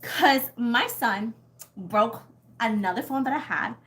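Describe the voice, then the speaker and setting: A woman's voice: on the high side, lively intonation, takes it slowly, a bit bright. A young Puerto Rican woman with a Puerto Rican accent, rambling and laughing as she chats casually with viewers of her tarot livestream. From Puerto Rico. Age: young adult. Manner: chatty and rambling, laughs often, swears casually. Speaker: tarot reader.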